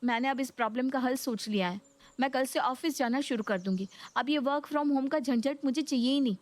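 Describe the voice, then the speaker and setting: A woman's voice: high-pitched, normal speed, dry recording, lightly acted. A woman voicing a young Indian daughter-in-law, deferential yet fed up, explaining herself in a cartoon story. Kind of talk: Hindi cartoon story voice acting.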